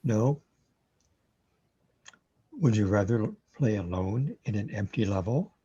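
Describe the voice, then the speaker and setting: A man playing a wry, scornful afterlife guide with a droll, deliberate delivery in a sci-fi radio drama, recorded lo-fi. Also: lowish voice, animated, warm tone, husky, full character voice. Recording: dull, lo-fi sound.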